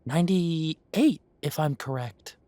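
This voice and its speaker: A man playing a genial, long-lived elven café owner who reminisces in an unhurried, chatty way, in a roleplay audio drama. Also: fairly high pitch, lively intonation, mellow, dry recording. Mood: genial, reminiscing. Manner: unhurried, musing, conversational with pauses.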